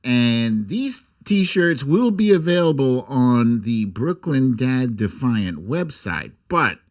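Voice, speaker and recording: American guy hosting a livestream, slow and easygoing pace but pitch jumps around a lot, jokey casual talk. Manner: informal, jokey, mildly profane. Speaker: host of an online livestream show. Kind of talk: casual livestream chat and commentary.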